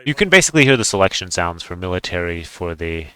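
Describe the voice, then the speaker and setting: Deep-voiced man, casual game commentary. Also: big pitch swings, fast talker, pushed and bright. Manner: offhand, rambling, thinking aloud. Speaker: gaming video creator.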